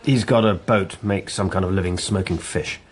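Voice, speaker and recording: A British actor playing a commanding, impatient police chief, brusque and insistent, in a radio drama. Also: male voice, deep voice, normal speed, natural voice. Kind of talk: naturalistic radio drama acting.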